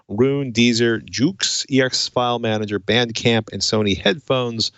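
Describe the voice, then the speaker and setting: A man's voice: lowish voice, a bit bright, dry recording, dull audio. A man hosting a casual tech show, chatty and conversational, with wry asides. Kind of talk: casual tech podcast chat.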